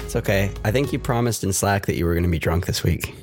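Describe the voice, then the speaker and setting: A man's voice: low-pitched, fast, a little mellow, faint music behind. A man co-hosting a casual tech podcast, rambling and ranty, swearing now and then. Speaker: podcast co-host. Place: New York. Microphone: close mic with pop filter.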